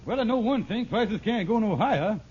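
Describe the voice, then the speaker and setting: High-pitched, wheedling work-shy schemer. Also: male voice, lively intonation, brisk, darkish tone. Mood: evasive. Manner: hesitant, wheedling. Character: scheming, work-shy young suitor, later nagged husband. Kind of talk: 1940s radio comedy acting. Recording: dull, noisy vintage radio recording.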